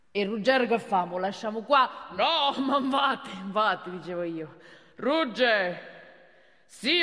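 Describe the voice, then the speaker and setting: A woman's voice: medium pitch, a bit bright. A woman acts out a blustering night watchman with a thick Roman accent, recorded in a reverberant theatre. He rambles with heavy sarcasm, tacking little grunts onto his phrases like a stage comedy character. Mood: nervous, placating. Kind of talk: theatrical comic monologue. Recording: reverberant theatre hall, distant.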